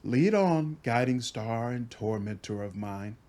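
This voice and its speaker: A man voicing a grumpy, arrogant young nobleman who mutters slowly. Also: medium pitch, expressive, darkish tone, lightly acted. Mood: wry.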